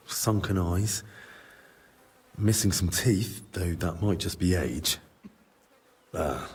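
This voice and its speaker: An actor playing a blunt, probing young male investigator in a video game cutscene, speaking in a measured, matter-of-fact way. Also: sits low, a little mellow, breathy edge, heavily acted.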